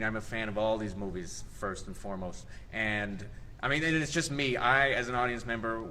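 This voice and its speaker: A young man from South Texas, a theater director, talking in a casual interview with lots of laughs and restarts. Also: on the low side. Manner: animated, laughs often, fond of hesitations. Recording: faint background noise.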